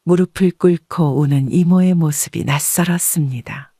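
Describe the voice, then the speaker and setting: A woman reading a first-person story as an audiobook narrator in a measured, composed way. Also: deep voice, mellow, dry recording, clean studio sound.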